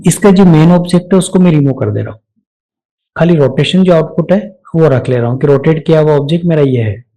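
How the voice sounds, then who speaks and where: A man with an Indian accent narrating a step-by-step software tutorial, explaining each action aloud as an instructor. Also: on the high side, fast talker, low-quality recording.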